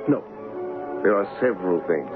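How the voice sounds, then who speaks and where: Deep-voiced, gallant radio-drama gentleman. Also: animated, moderate pace. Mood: charming, tender. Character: gallant, bold English gentleman posing as a king. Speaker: actor and first-person narrator. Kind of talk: 1970s radio drama acting. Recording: dull old radio broadcast with music.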